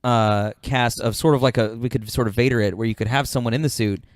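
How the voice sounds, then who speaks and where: A man's voice: medium pitch, steady tone, fast talker, no room sound, studio quality. A man chatting casually as a podcast host, reading out suggestions in a jokey, slangy way. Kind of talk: casual chat.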